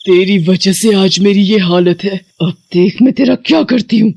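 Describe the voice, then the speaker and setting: A woman voicing a vengeful young witch ghost who threatens and taunts in an animated horror story. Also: mid-range voice, moderate pace, gravelly. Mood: angry, vengeful.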